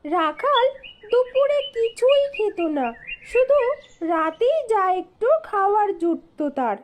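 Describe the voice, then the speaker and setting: A woman narrating scripted moral tales for children in a deliberate, phrase-by-phrase storytelling manner. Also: very high voice, big pitch swings. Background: music.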